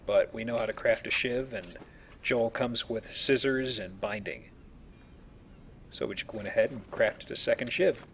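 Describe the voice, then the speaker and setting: A man's voice: medium pitch, normal speed, fairly bright tone. Man explaining a video game, some background noise. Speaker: let's player playing and explaining the game. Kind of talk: casual gameplay commentary.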